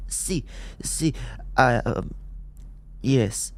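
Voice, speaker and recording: A man's voice: mid-range voice, drawn-out words, pitched above the performer's own voice. A man voicing a distraught, thankful old woman, tearful and faltering, in an audiobook. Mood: hesitant.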